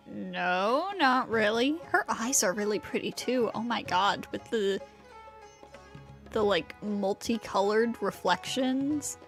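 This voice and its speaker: A woman's voice: medium pitch, expressive, takes it slowly, faint music behind. A woman livestreaming a game, reacting casually in informal, short interjections. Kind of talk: casual reactions while playing.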